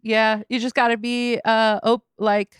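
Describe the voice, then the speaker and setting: A woman's voice: fairly high pitch, flat delivery, measured pace, clear, resonant tone, no echo, studio quality. A woman from Los Angeles, a rapper guest on a podcast, chatting casually with lots of fillers, restarts and laughs. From Los Angeles, USA. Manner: rambling, filler-heavy, laughs often.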